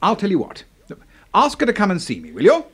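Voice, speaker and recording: A husky, pompous old English brigadier. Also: male voice, sits high, huge pitch swings, brisk, heavily acted. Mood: soothing, flattering. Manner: pompous, courtly. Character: genial, pompous old military boss, smoothly flattering. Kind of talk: 1970s radio drama acting.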